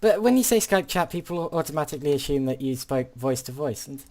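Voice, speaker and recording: A man's voice: on the high side, expressive, fast talker, a little mellow. Teen boy narrating his game, lots of uh and restarts, keyboard clacking. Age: teens. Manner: hesitant, stammering, polite British turns of phrase. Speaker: gaming channel host. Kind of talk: casual gameplay commentary.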